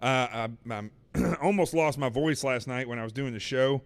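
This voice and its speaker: A man's voice: mid-range voice, moderate pace, dry recording. A man hosting a live show with a sore throat, talking in a rambling, sarcastic way full of fillers. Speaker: host. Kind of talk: casual livestream monologue. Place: studio in Manhattan.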